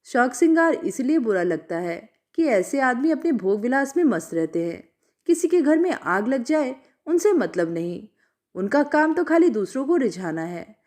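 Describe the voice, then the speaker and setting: A woman storyteller narrating a literary story from a script in an expressive way. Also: high voice, normal speed.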